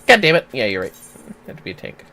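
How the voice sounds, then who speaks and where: A man's voice: mid-range voice, huge pitch swings, fast, creaky, pushed and bright, a little hiss or hum. A man hosting a livestream, casually commenting on a game and explaining how to play it. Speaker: livestream host, gamer. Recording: game music behind the voice. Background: game music.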